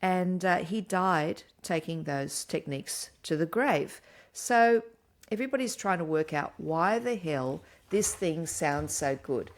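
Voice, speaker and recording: Low-voiced woman explaining with enthusiasm. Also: lively intonation, unhurried. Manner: laughs easily, explains with enthusiasm. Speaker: podcast co-host. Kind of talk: casual chat.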